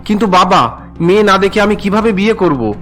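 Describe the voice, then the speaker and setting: A man's voice: high-pitched, expressive, a little fast, sharp tone, soft background music. An actor voicing a resentful young man, an unwilling groom in a cartoon, whiny and indignant. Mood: protesting.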